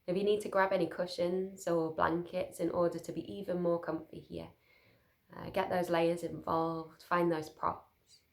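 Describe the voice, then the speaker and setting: A woman leading a guided sleep meditation, speaking soothingly and gently in short, unhurried phrases with pauses. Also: lowish voice, level tone, creaky.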